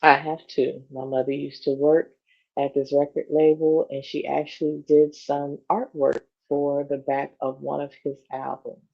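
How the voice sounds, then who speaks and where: A woman with a gravelly, fry-edged voice reads a magazine interview aloud as a show co-host. Her delivery is informal, like reading to a friend. The sound is somewhat dull and lo-fi. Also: low-pitched, flat delivery, a little slow. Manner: casual, informal asides breaking up the reading. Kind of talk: read-aloud of a magazine interview with casual asides.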